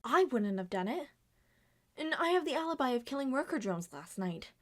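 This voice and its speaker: Woman as moody robot teen girl: high-pitched, sardonic, dramatic audio roleplay. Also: very animated, moderate pace. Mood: defensive. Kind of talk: fan-made audio roleplay acting.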